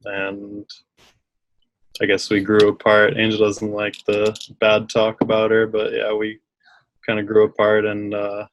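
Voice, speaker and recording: A man's voice: lowish voice, completely flat delivery, unhurried, slight background noise, low-quality recording. A young man from the United States talking casually as a guest, laid-back and rambling with many fillers. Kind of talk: casual chat.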